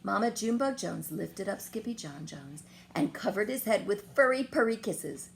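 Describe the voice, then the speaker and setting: A woman reading a children's picture book aloud in an expressive, dramatic storytelling manner. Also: mid-range voice, creaky, a little hiss or hum. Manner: expressive, dramatic pauses and whispers.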